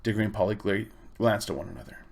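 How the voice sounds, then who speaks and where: A man reading a children's storybook aloud in expressive, measured phrases. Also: on the low side, vocal fry. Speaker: audiobook reader. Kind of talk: storybook narration.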